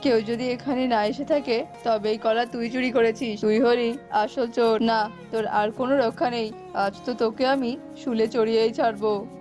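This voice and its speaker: A hot-tempered storybook king played by a woman speaks imperiously in a fairly high voice. He is a stern ruler and father in a cartoon tale. Music plays clearly behind him. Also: steady tone, moderate pace, a little mellow, natural voice. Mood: furious. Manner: imperious, threatening. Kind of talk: children's cartoon fairy-tale acting.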